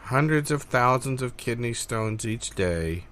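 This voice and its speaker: A man playing a meek, unambitious job applicant in improvised audio comedy, halting and deadpan. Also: medium pitch. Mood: earnest, deadpan.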